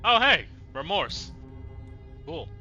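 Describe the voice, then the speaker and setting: A man's voice: on the high side, big pitch swings, takes it slowly, pushed and bright, muffled recording, faint music behind. A man doing casual, sweary let's play commentary, reacting with exasperation as he streams. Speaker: video game streamer.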